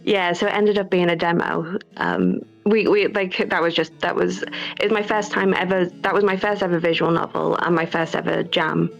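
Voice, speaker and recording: Gravelly, low-voiced woman in casual chat. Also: moderate pace. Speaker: guest game developer. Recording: slightly dull online call, music behind.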